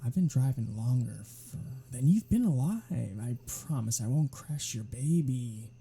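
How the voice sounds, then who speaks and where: A performer voicing a teasing, unrepentant vampire in an ASMR roleplay audio drama, with a husky, breathy voice and a sardonic, casual manner. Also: medium pitch, expressive, a little slow, soft background music, full character voice. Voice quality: husky, breathy, dark-toned. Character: teasing, unrepentant vampire predator travelling with human hunter.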